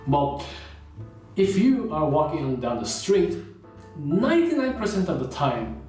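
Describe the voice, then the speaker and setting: A man chats to the camera in a loose, conversational way, his pitch rising and falling freely. He sounds set back from the microphone in a very echoey room, with soft guitar music underneath. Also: sing-song, measured pace. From China. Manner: conversational, many fillers like "you know" and "uh". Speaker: vlogger. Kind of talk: casual talk to camera. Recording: roomy echo, heard from a distance, with faint guitar music.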